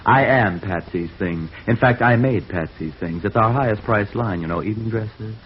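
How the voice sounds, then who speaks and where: A man's voice: fairly low pitch, moderate pace, close to an everyday voice. A man playing a fussy, flustered fashion designer in 1950s radio drama, theatrical and gushing, repeating himself. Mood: self-important. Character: fussy, melodramatic, frantic male fashion designer. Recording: muffled, hissy old radio recording.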